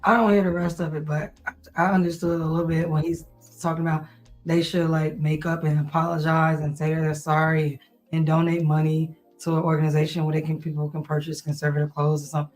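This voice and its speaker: Calm matter-of-fact podcast voice. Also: mid-range voice, a little fast, soft background music, low-quality recording. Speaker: podcast co-host. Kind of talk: casual banter.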